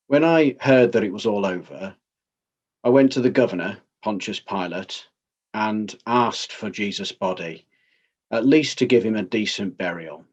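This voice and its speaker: A man playing a grave, dignified councillor giving measured, formal testimony in a dramatized inquest, in slightly dull sound. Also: lowish voice. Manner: measured, formal, plain-spoken.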